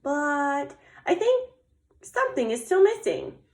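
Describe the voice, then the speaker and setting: A woman voicing a sweet, excited young female bear cub in a storybook, exclaiming and pondering aloud. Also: high voice, slow pace, reverberant, voice pushed up, heavily acted.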